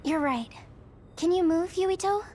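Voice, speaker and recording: A woman's voice: very high-pitched, unhurried, mellow. A young woman voicing an emotional, caring teenage girl in an anime game. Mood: concerned. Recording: music behind voice.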